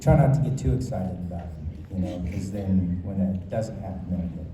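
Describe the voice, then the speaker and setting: Soft-spoken man in a cavernous hall. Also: deep voice, fairly quick, slight background noise. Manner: soft-spoken, self-described shy. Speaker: voice actor on a convention panel. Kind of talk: casual panel Q&A. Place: large convention hall, indoors. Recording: distant mic in a very echoey, crowded hall.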